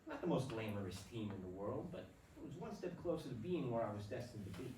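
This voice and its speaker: A man's voice: mid-range voice, very animated, quick pace, close to an everyday voice. A man playing an earnest, self-doubting young baseball player in a staged play reading. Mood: hopeful, earnest. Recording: roomy, distant stage recording with clear noise.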